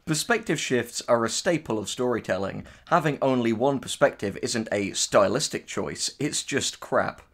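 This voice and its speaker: A man's voice: medium pitch, expressive, moderate pace, hardly any pauses, fairly bright tone. Man in casual, emphatic discussion. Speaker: writer co-hosting a reaction discussion. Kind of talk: casual opinionated chat.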